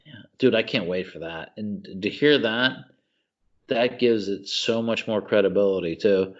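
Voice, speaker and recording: A man co-hosting a podcast in casual chat, gushing and excitable, calling his friend "dude.". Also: lowish voice, unhurried, muffled recording. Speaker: podcast co-host.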